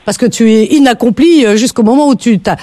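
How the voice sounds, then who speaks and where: A woman's voice: fairly high pitch, brisk, dark tone, no room sound. Radio host, woman, chatty and emphatic, dry close studio sound. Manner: chatty, emphatic, repeats words when worked up. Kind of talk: late-night call-in radio chat.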